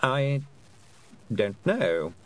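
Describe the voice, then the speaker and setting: A man voicing a pedantic, complaining talking toad who speaks slowly and fussily. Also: medium pitch, sing-song, fairly bright tone, voice pushed up, heavily acted. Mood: fussy. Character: fussy, grumbling talking toad.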